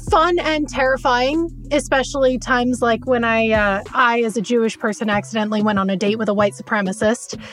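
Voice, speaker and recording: A woman in her twenties from Southern California, chatting lively as a dating show contestant. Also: on the high side. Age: 20s. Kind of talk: casual chat.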